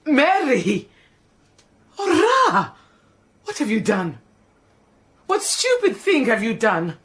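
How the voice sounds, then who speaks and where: A woman's voice: on the low side, very animated, unhurried. A woman voicing a proud, indignant housekeeper, theatrical and prone to wailing. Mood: anguished. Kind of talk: radio drama acting.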